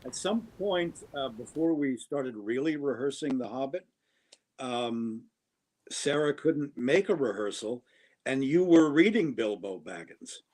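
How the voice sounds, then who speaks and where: A man who is an actor, chatting casually with quick asides. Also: medium pitch, expressive, darkish tone, rough recording. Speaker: actor guest. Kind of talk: casual conversation over a call.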